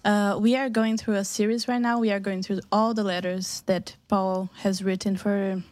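A woman's voice: medium pitch, flat delivery, a little mellow, dry recording. Brazilian woman hosting a faith show. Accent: Brazilian Portuguese. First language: Portuguese. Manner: laughs often, halting with restarts. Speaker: radio show host. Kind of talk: casual faith radio chat.

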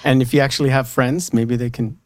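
A man hosts a relaxed radio chat, joking and teasing his guests. His voice sits at a middle pitch. The studio sound is close and dry. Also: fairly quick, no echo. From Southern California. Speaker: radio show host.

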